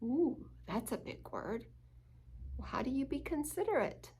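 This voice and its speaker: Slow-paced woman reading a storybook aloud. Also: high voice, slightly rough. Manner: expressive, chatty, asks listeners questions. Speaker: storytime reader at a church. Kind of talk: reading a children's picture book aloud with asides.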